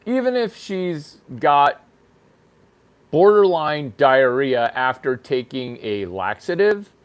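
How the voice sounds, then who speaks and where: A man talks slowly through a crude, rambling comedy riff, delivering bathroom humor as if giving a serious lecture. His voice sits a little high for a man and swings widely up and down, with sharp leaps in pitch when he stresses a point. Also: smooth. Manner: animated pitch jumps for emphasis. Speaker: channel host. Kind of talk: crude improvised comedy monologue.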